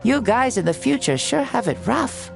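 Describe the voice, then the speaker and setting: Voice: medium pitch, expressive, moderate pace, vocal fry. An actor voicing a jaunty, grandiose ancient fire-god spirit in a boy's body for a video game, playful and theatrical with old-fashioned turns of phrase. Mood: jaunty, chatty. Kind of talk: video game character acting. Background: music under the voice.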